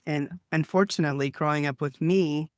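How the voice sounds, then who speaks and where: Enthusiastic Texan host, smooth voice. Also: male voice, on the high side, fairly even intonation, moderate pace, no room sound, studio quality. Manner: enthusiastic, chatty. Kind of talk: casual interview hosting.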